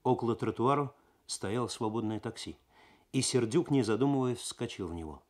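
A male narrator with a medium-pitched voice reads prose for 1970s radio. His delivery is actorly and expressive, building suspense in a literary style. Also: moderate pace. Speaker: narrator, reader.

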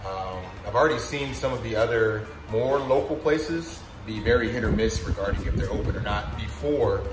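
A man in his thirties talking casually to a phone camera held at arm's length, relaxed and conversational with many fillers. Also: lowish voice, rough recording. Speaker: fitness vlogger. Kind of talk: casual vlog. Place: outdoors on a city street, then indoors at home. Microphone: phone held at arm's length, wireless earbuds.

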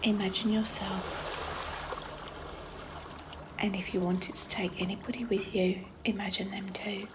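A woman guides a meditation in a soft, breathy voice that often sits close to a whisper. Her pitch is mid-range for a woman, and she takes her time between phrases. A clearly audible wash of trickling water and noise runs behind her. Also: little pitch movement, unhurried, pauses often.

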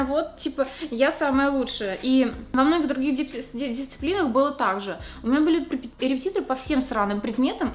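A young woman chats quickly and candidly to a close camera in a small room. Her voice sits a little high, and her talk is full of slang and fillers as she does her makeup. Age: young adult. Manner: talks while doing her makeup. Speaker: vlogger. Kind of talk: casual confessional chat to camera.

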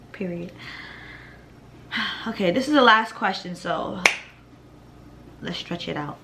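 A young woman in her twenties with a deep voice, chatting bluntly and profanely through a Q&A vlog at home. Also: fairly bright tone, slight background noise. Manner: blunt, profane, laid-back with laughs. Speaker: vlogger answering viewer questions. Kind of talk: casual Q&A vlog. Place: living room at home, indoors.

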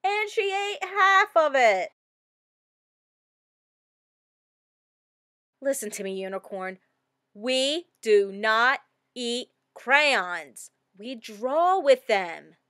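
A woman reading a storybook as an earnest, excitable little girl, with dramatic expression. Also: sits high, slow, no echo, studio quality, exaggerated voice. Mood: exasperated. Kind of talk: scripted storybook narration.

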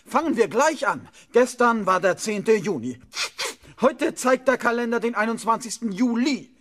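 An actor playing a stern, irritable schoolmaster who barks questions and orders in a 1970s children's radio play. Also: male voice, very high voice, lively intonation, normal speed, fairly bright tone, exaggerated voice. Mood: irritated, sarcastic. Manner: exclamatory, barking questions and orders. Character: stern, irritable village schoolmaster, scolding his class.

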